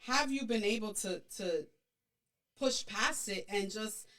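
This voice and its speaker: A woman's voice: mid-range voice, slow, fairly bright tone. Chatty woman podcast co-host. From Puerto Rican background. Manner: rambling, many restarts and fillers. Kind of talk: casual chat with live audience comments.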